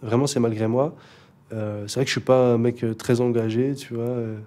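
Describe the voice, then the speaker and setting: A man's voice: medium pitch, level tone, normal speed, dark tone. A young man, a rapper, chatting casually in an interview, laid-back and hesitant, with many fillers and restarts. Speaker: rapper guest.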